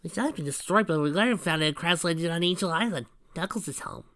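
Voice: medium pitch, quick pace, fairly bright tone, no room sound, studio quality, voice pushed up, lightly acted. A performer voicing an earnest, cheerful young boy fox sidekick, eagerly explaining in a light, youthful tone.

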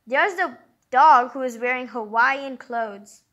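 A woman's voice: high voice, takes it slowly, a bit bright, muffled recording. A woman hosting a storybook read-aloud, breaking in with chatty, exclaiming asides in an informal way. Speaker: host of a story reading channel.

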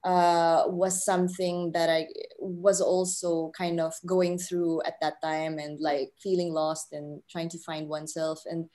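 A woman's voice: lowish voice, little pitch movement, unhurried, hardly any pauses, dark tone. A woman in her thirties from the Philippines, giving casual, reflective interview answers on a video call with a hesitant manner. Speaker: film writer and director, panel guest. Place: living room on a video call. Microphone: laptop mic on video call.

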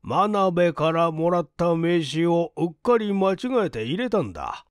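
Man playing slow-spoken genial older gentleman. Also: a little high, sing-song, smooth, no room sound, studio quality, voice pushed up. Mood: puzzled. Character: genial, dignified older gentleman, a generous benefactor.

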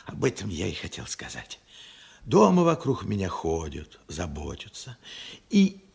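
An actor playing a regretful, stubborn old working man in a radio play, plain-spoken and reflective, with halting speech. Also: low-pitched, huge pitch swings, a little mellow, full character voice. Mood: weary, resolved. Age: elderly. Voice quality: husky, creaky old voice. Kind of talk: Soviet radio play acting.

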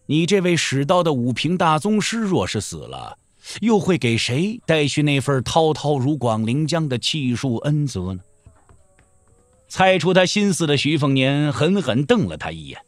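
A man's voice: fairly high pitch, very animated, normal speed, dry recording. A man narrating a Chinese wuxia audio drama in dramatic, scripted storytelling style.

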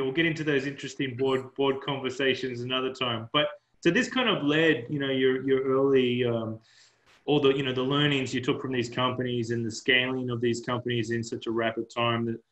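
A man's voice: mid-range voice, fairly bright tone. A man in his thirties hosting a video-call interview, eager and stumbling over his restarts. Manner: enthusiastic, restarts often. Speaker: host. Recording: roomy, dull video-call audio.

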